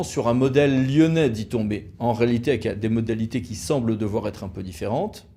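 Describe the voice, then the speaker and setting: A man's voice: medium pitch, mellow. A man with an accent from France giving a semi-improvised explanatory talk, didactic, stressing key words. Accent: French from France. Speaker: lawyer presenting an explainer.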